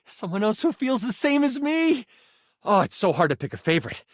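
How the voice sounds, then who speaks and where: A man's voice: high voice, sing-song, fairly quick, dry recording, studio quality. A man voicing an eager, nerdy living twenty-sided die in a video game, a theatrical game chronicler with geeky, mock-archaic flourishes. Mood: giddy excitement. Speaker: game master character in a video game. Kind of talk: animated video game voice acting.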